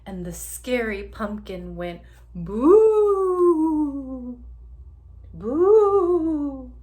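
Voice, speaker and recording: A woman voicing a male talking pumpkin head from a children's storybook, trying to scare with loud, drawn-out cries. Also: sing-song, exaggerated voice. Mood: spooky. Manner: loud, drawn-out, high exclamations. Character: male talking pumpkin head, would-be scary, easily deflated.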